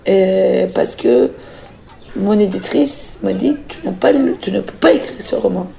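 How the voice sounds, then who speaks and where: A middle-aged woman from Turkey with a Turkish accent, telling her own story off the cuff as a novelist, reflective and halting as she searches for words and repeats phrases for emphasis. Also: medium pitch, vocal fry, dark tone, poor audio. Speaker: novelist speaking as a guest. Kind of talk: reflective personal account, spoken off the cuff.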